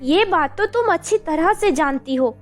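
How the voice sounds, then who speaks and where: A woman plays a middle-aged cartoon mother, caring and gently persuasive. She speaks in a high voice over a music bed. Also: lively intonation, normal speed. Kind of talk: Hindi animated melodrama dialogue.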